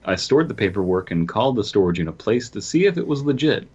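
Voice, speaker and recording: Deep-ish guy on a podcast, casual banter n stumbly story reading, bit lo-fi. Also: big pitch swings, fast talker, darkish tone, slightly rough. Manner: stumbles while reading aloud. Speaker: podcast co-host.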